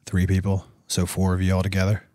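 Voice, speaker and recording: Hoarse deep-voiced dad hosting a podcast. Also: male voice, monotone, fast, dark tone, no echo, clean studio sound. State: hoarse, losing his voice. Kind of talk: casual family chat.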